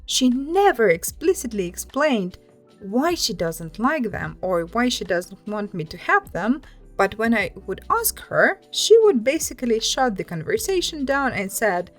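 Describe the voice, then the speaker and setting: A woman's voice: a little high, sing-song, unhurried, soft background music. A woman narrating written personal stories aloud as a host, in a scripted storytelling style.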